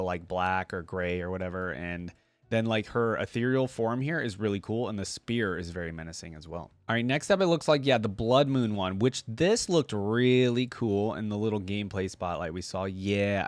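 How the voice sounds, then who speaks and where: A man's voice: on the low side, no echo. A man giving casual reaction commentary as an online host, enthusiastic and informal in a conversational way.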